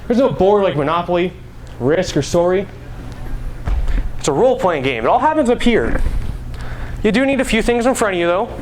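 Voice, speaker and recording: A man's voice: high voice, sing-song, moderate pace, pauses often, poor audio. A young man giving a prepared live speech as a student presenter, playful and conversational in his delivery. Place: school stage. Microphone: clip-on mic. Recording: live room, background noise.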